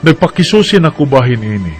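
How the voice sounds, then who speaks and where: A man's voice: medium pitch, big pitch swings, fast talker, lightly acted. Earnest adult son, Cebuano Filipino, radio drama, male actor: mid-range voice, faint music underneath. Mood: earnest, concerned. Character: earnest adult son working abroad, worried for father. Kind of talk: radio drama, reading a letter aloud.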